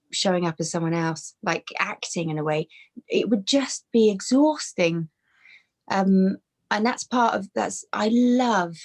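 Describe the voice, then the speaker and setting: A woman's voice: mid-range voice, a little mellow. A woman in her thirties, a podcast guest on a webcam video call from her bedroom, talking casually with many restarts and fillers. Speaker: podcast guest, fitness trainer. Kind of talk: casual conversational interview. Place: bedroom with brick wall.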